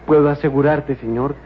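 Higher-voiced loyal young companion. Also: male voice. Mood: playful, teasing. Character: loyal, devout young servant companion, formerly enslaved. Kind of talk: old radio serial acting. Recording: muffled, noisy old radio serial recording.

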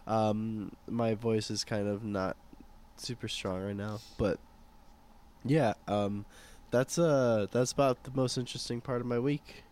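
A young man with a hoarse voice from a sore throat, chatting in an easygoing way, laughing often and restarting his sentences. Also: lowish voice, steady tone, a little slow, darkish tone. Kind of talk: casual chat.

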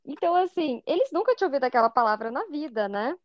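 A woman's voice: sits high, big pitch swings, fast talker, no echo, muffled recording. A woman from Curitiba with a Curitiba Brazilian Portuguese accent, a podcast co-host in casual conversation who acts out remembered dialogue as she tells stories.

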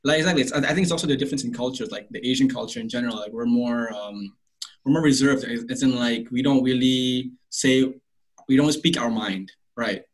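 Young male host from Malaysia, rapid and chatty, full of fillers and restarts. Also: mid-range voice, low-quality recording. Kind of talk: casual conversation.

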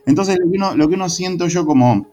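A man with an Argentine accent, a secondary school literature teacher, talking in a relaxed interview in a reflective, passionate way with frequent restarts. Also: high voice, fast talker, faint music behind. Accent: Argentine Spanish.

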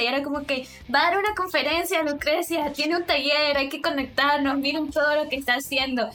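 A woman moderating a panel discussion, asking the guests questions and telling anecdotes in a lively way. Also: fairly high pitch.

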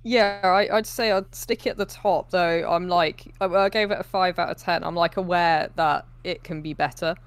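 A woman's voice: fairly low pitch, fairly even intonation, normal speed, few pauses, clear, resonant tone, no room sound. A young woman co-hosting a podcast, giving her opinions in informal, conversational film chat. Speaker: podcast co-host.

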